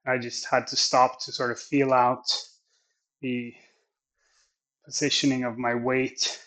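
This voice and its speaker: A man whose first language is Swedish, talking in English through a training session and reflecting on it in a slow, halting way. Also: medium pitch, level tone, a bit bright. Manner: slow, thoughtful, halting phrasing. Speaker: athlete training on camera. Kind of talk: reflective training vlog narration.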